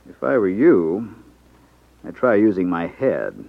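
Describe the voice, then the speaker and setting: An actor playing a smug, condescending racketeer boss in a suave, dry manner in a 1950s radio drama. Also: male voice, low-pitched, sing-song, measured pace, gravelly, exaggerated voice. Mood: smug, sardonic. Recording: tinny band-limited 1950s radio recording.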